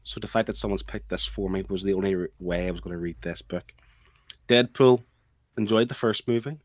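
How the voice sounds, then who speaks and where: A man's voice: deep voice, a little fast, no echo. A man with a Northern Irish accent, co-hosting a casual chat in a blunt, teasing, grumbling way. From Northern Ireland. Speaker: co-host.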